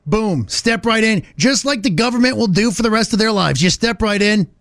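Man, American accent, morning radio host, high pitch, lively intonation, emphatic mock-serious comic delivery. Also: fairly quick, dry recording, clean studio sound. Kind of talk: comic banter and storytelling.

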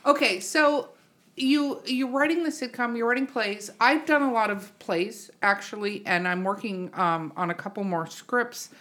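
A woman's voice: on the high side, moderate pace, fairly bright tone. A woman hosting a podcast interview in a casual, informal and teasing way. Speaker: podcast host.

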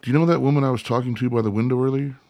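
Actor as earnest son, dry close sound. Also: male voice, medium pitch, fairly even intonation, rapid-fire, no room sound, dull audio, close to an everyday voice. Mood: uneasy, questioning. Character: earnest, pragmatic grown son pushing back. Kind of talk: radio play dialogue.

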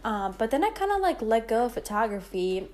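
Young woman host from Greece, twenties, chatty solo podcast talk with fillers, slightly high voice. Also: sing-song, brisk, darkish tone. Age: 20s. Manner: chatty, rambling, many fillers. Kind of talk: casual solo podcast chat.

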